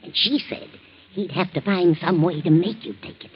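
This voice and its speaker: An actress playing an anxious, loyal female servant in a 1940s radio drama, husky and hesitant, on a muffled old recording. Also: lowish voice, normal speed, a little hiss or hum, natural voice. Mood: conspiratorial. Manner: hesitant, deferential.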